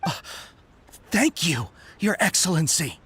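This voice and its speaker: A devoted young soldier in a video game. The delivery is earnest, formal and deferential, with pitch that swings widely. Clear noise sits behind the voice. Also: very animated, slow pace, a bit bright. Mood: grateful, dutiful. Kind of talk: scripted video game character acting.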